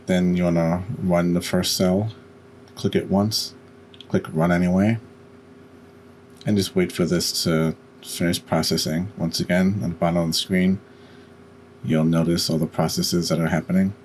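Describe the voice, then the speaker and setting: A man with a deep, low voice talks through software steps as a tutorial host. His delivery is halting, with breaks mid-sentence. Clear background noise sits behind the voice. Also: level tone. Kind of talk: step-by-step screen-recorded software tutorial.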